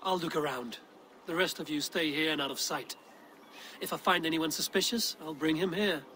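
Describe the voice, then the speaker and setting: An actor playing a bold, threatening Viking warrior in a video game, with a blunt and commanding delivery. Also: male voice, a little high, normal speed, pushed and bright, slight background noise. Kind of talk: dramatic video game acting.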